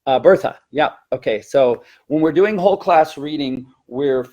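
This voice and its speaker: A man's voice: a little high, moderate pace, a little mellow, dull audio. A man who teaches elementary Spanish, giving an explanatory teacher-training talk in a hesitant, self-correcting way.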